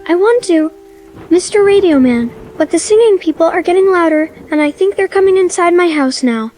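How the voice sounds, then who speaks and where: A woman's voice: extremely high pitch, lively intonation, moderate pace, darkish tone. A woman voicing a frightened, innocent little girl phoning in to a horror radio drama. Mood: frightened, pleading. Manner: childlike, innocent, scared.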